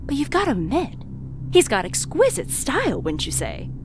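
A wry, animated storyteller. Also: female voice, high-pitched, normal speed, music in the background. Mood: wry, admiring. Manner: arch, theatrical. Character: wry, knowing female storyteller of a dark court tale. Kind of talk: dramatic narration in an audio play.

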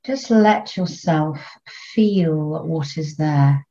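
A woman leading a guided meditation in a soothing, unhurried way, leaving long pauses between short phrases. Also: sits low, warm tone, muffled recording.

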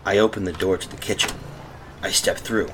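A man playing a tormented husband in an old-style radio horror drama, theatrical and husky. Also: sits low, steady tone, background noise, close to an everyday voice. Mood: panicked. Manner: theatrical, brooding, halting monologue. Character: tormented lost husband, haunted, telling his doom.

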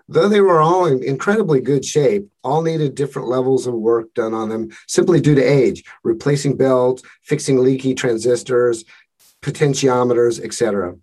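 A man hosting a podcast, reading a measured scripted introduction and then asking his guest questions. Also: mid-range voice, a little mellow. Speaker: podcast host.

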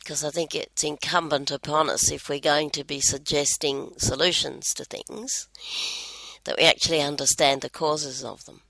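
Gravelly, bright-voiced woman co-host. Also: low-pitched, fairly even intonation, normal speed, no echo, rough recording. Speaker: podcast co-host. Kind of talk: casual podcast chat.

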